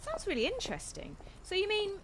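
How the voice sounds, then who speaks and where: A woman's voice: very high-pitched, wildly swooping pitch, fairly quick, background noise, exaggerated voice. A woman playing a friendly, inquisitive woman, droll and easygoing, in a naturalistic radio play. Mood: friendly, curious.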